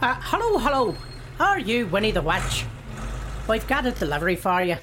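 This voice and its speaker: A woman's voice: on the high side, very animated, normal speed, voice pushed up. A woman voicing a polite, slightly hesitant delivery driver in a storybook reading. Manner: hesitant, courteous greeting. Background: music.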